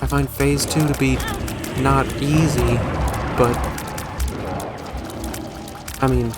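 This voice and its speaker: A man's voice: mid-range voice, fairly even intonation, slow pace, over loud music. A man hosting a gaming video with casual, joking, self-deprecating commentary and some mild swearing.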